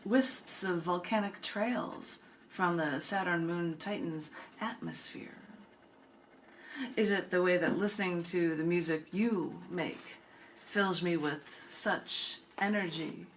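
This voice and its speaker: A woman reading spoken-word poetry in measured, deliberate phrases with dramatic pauses. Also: on the low side, fairly even intonation, slight background noise. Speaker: poet reading own work, host of the reading.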